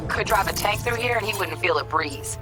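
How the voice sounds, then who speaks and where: A woman's voice: mid-range voice, lively intonation, brisk, close to an everyday voice. Clipped, sardonic hacker woman played by an actress, music behind. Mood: focused, businesslike. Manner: clipped, slangy, dry. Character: brisk, sardonic female hacker guiding a heist team. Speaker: netrunner character in a game. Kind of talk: naturalistic video game voice acting.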